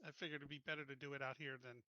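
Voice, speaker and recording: A man taking part in a tabletop game, chatting casually and describing his character's actions in an informal, halting way. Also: medium pitch, flat delivery, pushed and bright, no room sound, muffled recording.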